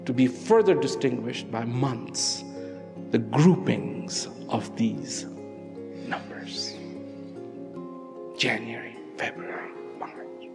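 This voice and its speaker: Slow, animated man in a reverberant hall. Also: medium pitch, creaky. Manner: slow, emphatic, swings from loud to hushed. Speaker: speaker. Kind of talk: reflective public talk. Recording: long hall reverb with faint music.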